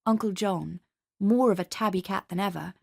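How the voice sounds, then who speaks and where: A woman's voice: medium pitch, moderate pace, gravelly. A woman with a British accent, narrating a literary story for radio in a dramatic style. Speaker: story reader.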